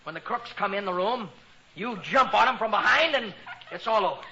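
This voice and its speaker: High-voiced, melodramatic teenage would-be detective. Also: male voice, expressive, fairly quick, bright, forceful tone, exaggerated voice. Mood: tough detective act. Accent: American. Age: young adult. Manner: melodramatic, cocky, earnest. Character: eager, self-important teenage son playing private eye. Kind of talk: 1940s radio comedy acting. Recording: muffled, noisy 1940s radio recording.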